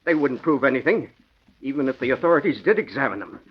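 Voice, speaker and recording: Radio drama crook: rattled businessman, husky voice with wide swings, halting delivery, lo-fi vintage recording. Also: mid-range voice, expressive. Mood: agitated, pleading. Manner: nervous, halting, agitated. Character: frightened, corrupt businessman confined to hospital. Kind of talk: old-time radio drama acting. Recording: dull, lo-fi old radio recording.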